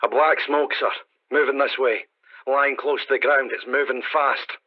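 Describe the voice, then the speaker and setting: A man's voice: medium pitch, fairly even intonation, normal speed, close to an everyday voice. A man playing a dutiful, anxious artillery observer in a radio play, reporting tersely to his officer. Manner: terse, deferential military reporting. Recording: dull radio-style sound.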